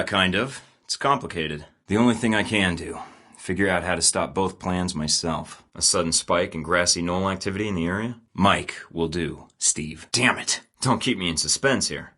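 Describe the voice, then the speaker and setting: A man's voice: deep voice, bright, forceful tone. An actor playing a confident, sarcastic male secret agent in a video game, delivering dry quips. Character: wisecracking male American spy, confident and sarcastic.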